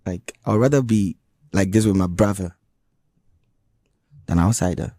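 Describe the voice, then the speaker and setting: Halting Ghanaian man, dark and dry. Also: sits low, no echo. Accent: Ghanaian English. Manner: defensive, halting, many restarts. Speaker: musician guest. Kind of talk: radio interview. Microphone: radio studio mic.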